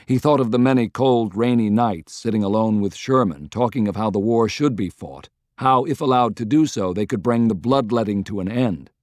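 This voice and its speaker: A man's voice: on the low side, moderate pace, few pauses, darkish tone, dry recording, studio quality. A man reading scripted narration of a historical novel as an audiobook narrator.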